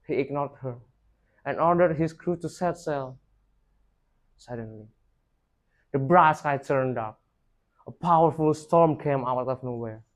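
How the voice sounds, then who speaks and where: A young man tells a rehearsed folktale dramatically, in emphatic, declaimed phrases. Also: medium pitch. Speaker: student storyteller.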